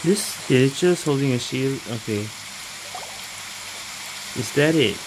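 A woman's voice: rumbling low, expressive, slow pace, noisy background. A woman giving casual gameplay commentary in short reactions, sometimes reading game choices aloud. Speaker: gaming video creator. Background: game music.

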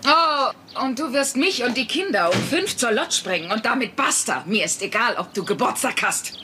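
A woman's voice: fairly high pitch, animated, normal speed, over music, lightly acted. An actress playing a bossy, volatile working-class wife and mother in a sitcom dub, with a nagging, sarcastic manner. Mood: indignant. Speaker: voice actor in a German sitcom dub.

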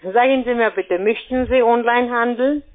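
Woman cold caller, kinda high voice, pushy sales talk, thin phone sound. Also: normal speed. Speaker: cold-calling telephone sales agent. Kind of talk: sales pitch on a phone call. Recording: tinny, narrow-band phone line.

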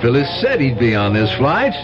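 An actor in a 1970s radio drama playing a fussy, snobbish brother with an indignant, haughty manner. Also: male voice, mid-range voice, wildly swooping pitch, normal speed, over music. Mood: peevish. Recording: dull vintage radio with loud background.

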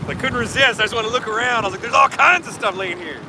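Fast-talking joking man in a windy boat. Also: very high voice. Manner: joking, rambling storyteller. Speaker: guest. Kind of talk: casual chat. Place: small boat on a lake, outdoors. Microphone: phone camera a few feet away. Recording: wind and water noise from a distance.

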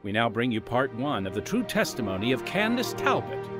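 Male host, upbeat scripted intro, lively pitch, music under voice. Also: a little fast. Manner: upbeat, emphatic presenting. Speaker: podcast host.

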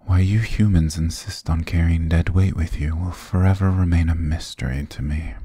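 Man acting deep, gravelly, taunting demon king. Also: warm tone, no echo, studio quality, exaggerated voice. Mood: smug, condescending. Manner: sarcastic, teasing, menacing purr with low chuckles. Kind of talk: ASMR roleplay acting. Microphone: close, dry microphone.

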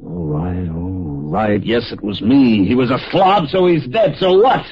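An actor playing a defiant, selfish, hot-tempered killer in a vintage American radio drama. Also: male voice, lowish voice, expressive, a little slow, husky. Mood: surly. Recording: dull, band-limited old radio recording.

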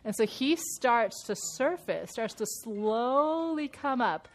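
A woman's voice: a little high, lively intonation, slow pace. A woman in her thirties leads a women's Bible study, her voice audibly nasal from a sinus infection. She talks in a loose, chatty way, laughing easily and restarting her sentences as she shares with the group. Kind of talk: casual talk to a women's group. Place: church meeting room.

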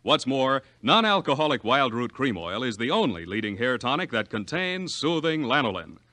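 An American man announcing in 1940s radio style, reading a scripted sales pitch with polished emphasis. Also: very animated, normal speed, pushed and bright, no room sound. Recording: old 1940s radio broadcast.

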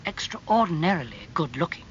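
A narrator playing a suave, amused young aristocratic gentleman in a dramatised audiobook. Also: mid-range voice, sing-song, a little fast, highs cut off, slight background noise, lightly acted. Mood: breezy.

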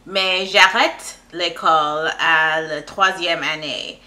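A young woman from Canada, a native English speaker, talks through her makeup in slow, halting French, often stopping to hunt for a word. Her voice sits fairly high and rings very bright. She is recorded close to the camera in a small, bright room. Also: fairly even intonation. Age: young adult. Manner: halting, searching for words, laughing at herself. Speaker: beauty vlogger. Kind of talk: casual makeup tutorial chat.

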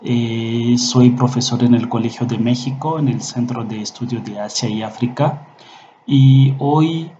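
A middle-aged man from the Democratic Republic of the Congo, a professor whose first language is Swahili, speaking slowly with many fillers as he introduces and recites a short poem from a webcam in a small room. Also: medium pitch, warm tone, echoey room, dull audio, slight background noise. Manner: slow, hesitant speech with frequent fillers. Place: small indoor room at a desk.

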